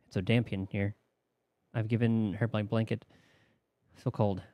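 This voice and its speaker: A man's voice: fairly low pitch, little pitch movement, unhurried, dry recording, muffled recording. A man streaming a game, chatting casually and reading the game's text aloud.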